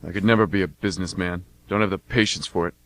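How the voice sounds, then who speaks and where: A man's voice: low voice, steady tone, fast, fairly bright tone, no echo. A man playing a laid-back mercenary older brother in an amateur radio drama, with a casual, folksy delivery. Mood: fondly teasing.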